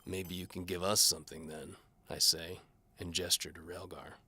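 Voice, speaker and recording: A man's voice: low voice, steady tone, normal speed, bright, forceful tone, husky, exaggerated voice. A man playing a cynical, hard-bitten mercenary bowman who narrates his own case in a wry, terse noir style. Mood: probing. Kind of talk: scripted first-person noir fantasy narration.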